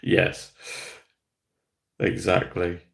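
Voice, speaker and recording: A low-voiced man talks loosely to his livestream viewers, rambling between ideas and reading out comments. His voice sits deep, with a gravelly, fry-laden edge. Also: completely flat delivery, drawn-out words. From Australia. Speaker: hobby channel host. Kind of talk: casual livestream chat.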